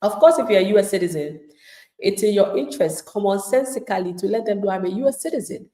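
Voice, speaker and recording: A woman's voice: mid-range voice, expressive, fast talker, mellow, roomy sound. A woman immigration attorney giving live legal advice to viewers in an emphatic, plain-spoken way, acting out the replies of people she describes.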